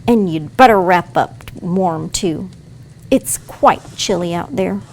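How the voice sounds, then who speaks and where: A woman playing a bossy, brusque robber girl with a hidden soft heart in an audio play. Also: fairly low pitch, takes it slowly, a little hiss or hum. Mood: grudgingly kind. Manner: brusque, commanding.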